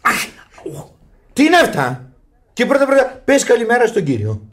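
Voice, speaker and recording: Flustered, excitable husband in radio farce. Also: male voice, very high-pitched, exaggerated voice. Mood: indignant. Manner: exasperated, repetitive, excitable. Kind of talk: theatrical radio comedy acting.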